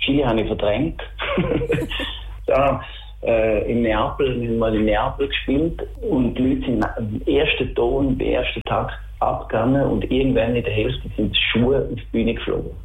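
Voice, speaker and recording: A man's voice: mid-range voice, normal speed. A middle-aged man with a Schaffhausen Swiss German accent answers interview questions casually, searching for words with enthusiasm. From Schaffhausen, Switzerland. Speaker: interviewed band musician. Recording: thin phone-like sound.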